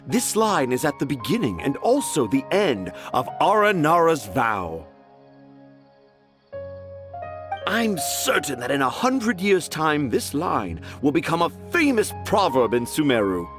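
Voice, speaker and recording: Game role: grandiose, excitable male storyteller, highish voice, very animated, pompous and florid. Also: moderate pace, hardly any pauses, over music. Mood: proud. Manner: theatrical, pompous, florid phrasing. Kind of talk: video game character acting.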